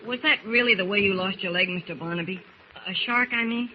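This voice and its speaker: A man's voice: high-pitched, fast, lightly acted. An actor playing an earnest, curious boy on a ship in 1940s radio style. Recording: muffled, noisy old radio recording.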